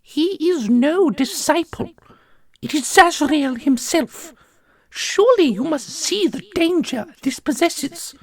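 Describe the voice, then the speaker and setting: A man voicing a defiant, sharp-tongued companion of a dark prince in a fantasy audio roleplay, with an insolent manner. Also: very high voice, lively intonation, dry recording, voice pushed up, heavily acted. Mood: urgent. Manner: quick, sarcastic, insolent.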